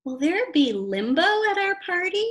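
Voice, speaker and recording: Woman voicing an eager high-pitched little storybook boy. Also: very animated, normal speed, fairly bright tone. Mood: eager, curious. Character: eager, kind flat schoolboy in a storybook.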